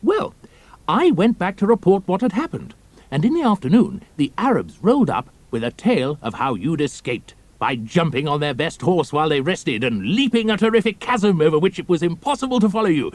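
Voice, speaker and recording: An actor playing an eager young British airman in an audio drama, with a chatty, colloquial, animated manner. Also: fairly high pitch, brisk, dry recording. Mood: animated, reporting.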